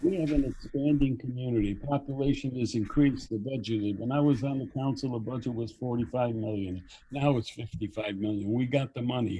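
A man speaks as a candidate at a forum in a husky, mellow voice of middling pitch. His delivery is blunt, built from clipped statements. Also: rough recording. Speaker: city council candidate. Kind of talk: answering at a public forum.

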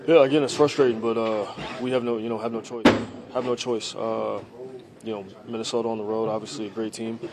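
A young man answering reporters' questions after a game, halting and repeating himself with many fillers, close to handheld mics in a locker room. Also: fairly low pitch, flat delivery, a loud crowd. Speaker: quarterback at a postgame press conference. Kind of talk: postgame press answers. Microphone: handheld reporter mics at close range.

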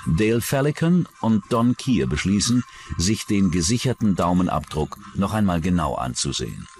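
A man's voice: sits low, big pitch swings, moderate pace, a little mellow, slight background noise. A man reading scripted narration for a true-crime documentary.

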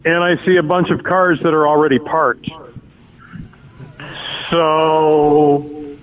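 A man with a high voice reports by phone from an outdoor parking lot, drawling slowly through a playful running commentary. The line sounds dull and lo-fi. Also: little pitch movement. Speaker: roving field reporter. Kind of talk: live on-the-spot reporting by phone.

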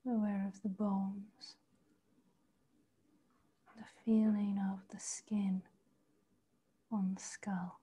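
A woman leading a guided body meditation, speaking slowly and softly, often in a half whisper. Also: mid-range voice, little pitch movement, slightly rough. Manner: slow, soft, partly whispered guidance. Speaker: meditation teacher. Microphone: video call.

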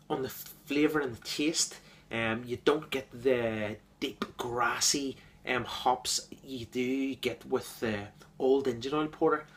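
A man giving an enthusiastic, casual beer review, repeating words and filling pauses with ums. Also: medium pitch, a bit bright.

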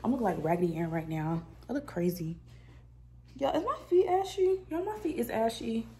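A woman's voice: a little high, animated, fairly quick, mellow, a little hiss or hum. Girl in her 20s doing a chill home vlog, lots of y'all, phone at arm's length. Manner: chatty, addresses viewers as y'all. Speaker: vlogger. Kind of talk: casual vlog chat to camera. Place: apartment kitchen and living room indoors. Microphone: phone camera held at arm's length.

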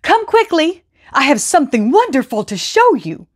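A woman voicing a pompous, excitable man who declaims self-importantly in a children's folktale. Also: high voice, normal speed, voice pushed up. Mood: excited.

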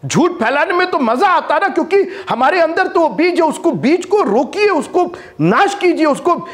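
High-voiced preacher man, swinging loud and hushed. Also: normal speed. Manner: animated, swings between loud emphatic lines and low hushed ones. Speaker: bishop and preacher. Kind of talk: Hindi sermon to camera. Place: studio with green-screen backdrop. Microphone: lapel mic.

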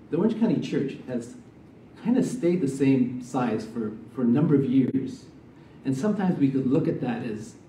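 A middle-aged man, a missionary, giving a sermon and prayer in a church, soft-spoken and halting with many fillers. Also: mid-range voice, a little hiss or hum. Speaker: missionary preacher. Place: church sanctuary, medium-sized. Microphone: stand mic from a distance. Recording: roomy church sound, mic far off.

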